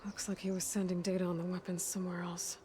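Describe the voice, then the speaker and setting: A woman voicing a serious, observant young warrior scout in a video game, muttering her thoughts in hushed asides. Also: lowish voice, little pitch movement, quick pace, natural voice. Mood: focused, pondering. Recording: game audio with clear ambient noise.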